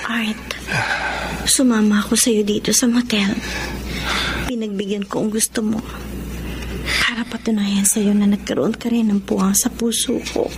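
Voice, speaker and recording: An actress playing a serious, reluctant young lover in a radio drama. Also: female voice, mid-range voice, level tone, moderate pace, pauses often, noisy background, natural voice. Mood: solemn, resigned. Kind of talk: Tagalog radio drama acting.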